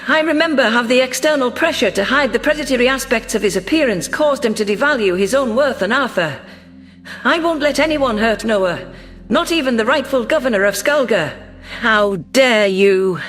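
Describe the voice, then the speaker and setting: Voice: mid-range voice, animated, moderate pace, fairly bright tone, soft background music. A narrator reading first-person science fiction in a scripted, dramatic storytelling style. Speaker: narrator of sci-fi audio stories.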